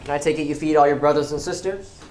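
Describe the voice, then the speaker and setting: A man's voice: fairly high pitch, steady tone, quick pace, darkish tone, reverberant, lightly acted. A man in a stage play acting as a persuasive criminal schemer, coaxing and commanding in a pointed way. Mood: pressing, coaxing. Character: smooth, persuasive male criminal schemer recruiting a reluctant worker. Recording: noisy live stage recording.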